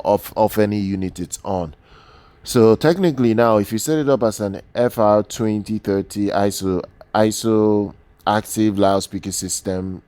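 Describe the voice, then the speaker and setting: Guy reviewing audio gear off the cuff, deep monotone, keeps stumbling and repeating himself. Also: no room sound. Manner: halting, repeats words and phrases often. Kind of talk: unscripted product overview commentary.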